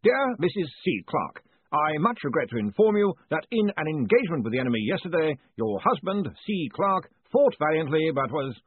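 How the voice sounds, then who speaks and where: A man's voice: on the high side, sing-song, normal speed, few pauses, husky, no room sound, voice pushed up. A man voicing ghostly talking letters in an audiobook, each read out in a stiff, recited character voice. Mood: solemn regret. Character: talking letters: country boy, clipped lawyer, regretful officer. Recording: muffled phone-like audio.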